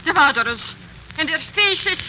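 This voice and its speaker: A squeamish young-sounding lady, frets and pleads in a high, piercingly bright voice. It is 1940s radio drama with faint hiss. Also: animated, normal speed, exaggerated voice, low-quality recording. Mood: uneasy, pleading. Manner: pleading, fretful. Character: squeamish, frightened woman visiting a wax museum. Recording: hissy 1940s radio broadcast.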